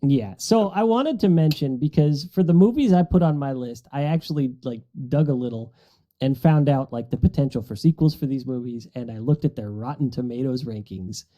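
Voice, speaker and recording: A man's voice: medium pitch, normal speed, warm tone, highs cut off. A middle-aged man chatting casually about movies as a podcast panelist, in an explanatory, conversational way.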